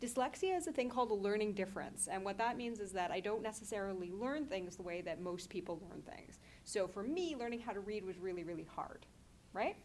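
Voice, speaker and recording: A young woman gives a lively, chatty talk about comics at a middle pitch. She turns to the audience often and speaks to them directly. A faint noise sits under her voice. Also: brisk. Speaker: comics creator.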